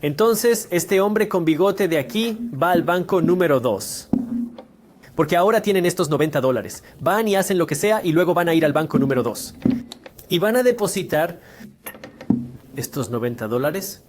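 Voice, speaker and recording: A man's voice: a little high, lively intonation, normal speed, noisy background. A man giving a scripted finance explainer lesson, speaking conversationally and putting rhetorical questions to the viewer. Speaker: finance educator.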